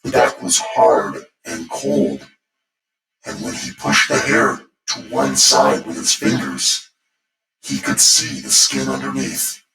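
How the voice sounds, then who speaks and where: A man narrating a spooky bedtime story as its host, in a deliberate, theatrical manner. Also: very deep voice, pushed and bright. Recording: echoey, distant voice over music. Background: music.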